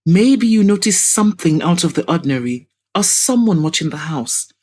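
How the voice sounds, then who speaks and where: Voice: mid-range voice, very animated, normal speed, a little mellow, breathy edge, voice pushed up. Audio drama lead: determined young woman, voiced by a female narrator, formal, pointed, insistent. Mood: searching. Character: determined, probing young woman confronting a suspect.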